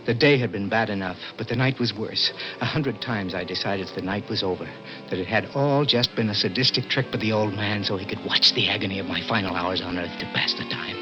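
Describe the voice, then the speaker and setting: A man's voice: lowish voice, fairly quick, natural voice. An American actor playing an anxious, tense condemned man in a 1960s radio drama, sometimes stammering. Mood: haunted, tense. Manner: tense, stammering under pressure. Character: anxious condemned male prisoner narrating his escape. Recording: muffled old radio, loud music behind.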